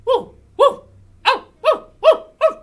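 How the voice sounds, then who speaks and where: A woman voicing an excitable, slobbery, dim-witted male dog in a storybook, blustering and repeating himself. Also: fairly high pitch, takes it slowly, full character voice. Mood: tattling excitedly.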